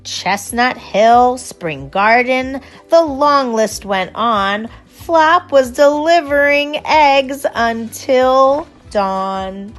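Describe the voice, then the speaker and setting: A woman reading a rhyming children's storybook aloud in an expressive, unhurried storytelling manner. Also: high-pitched. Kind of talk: storybook read-aloud in rhyme.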